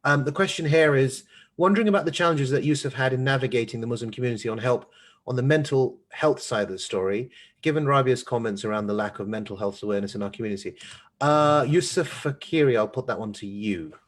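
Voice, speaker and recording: A man moderating an online panel discussion and reading out questions in a measured, formal, hesitant way. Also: medium pitch. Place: online video call.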